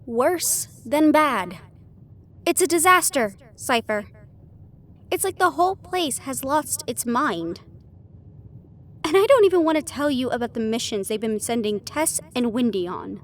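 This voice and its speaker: A woman playing a brisk, caring and exasperated magical ally, candid and expressive, in a fantasy audio roleplay. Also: sits high.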